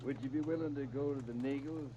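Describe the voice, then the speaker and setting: A man's voice: medium pitch, steady tone, fast talker, lightly acted. An actor playing a shrewd, fatherly older small-town storekeeper with a drawling rural American accent, in 1930s radio drama style. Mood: serious, coaxing. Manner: drawling homespun country talk. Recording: dull, noisy 1930s radio disc recording.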